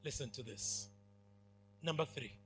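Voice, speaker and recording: A man's voice: medium pitch, unhurried, a bit bright, breathy edge. A man with a Kenyan accent preaching a sermon to students. He has a big, commanding voice, speaks emphatically and keeps asking the crowd whether they are following. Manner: emphatic, with call-and-response questions.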